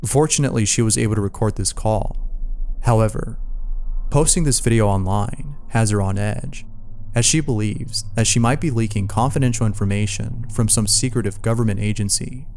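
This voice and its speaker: A man's voice: fairly low pitch, moderate pace, a little mellow. A man narrating scary mystery stories from a script, as the narrator of a video. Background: music.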